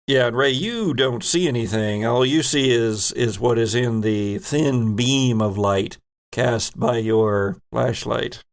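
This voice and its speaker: A man narrating a horror sci-fi tabletop game as game master, descriptive, with deliberate halting pauses. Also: mid-range voice.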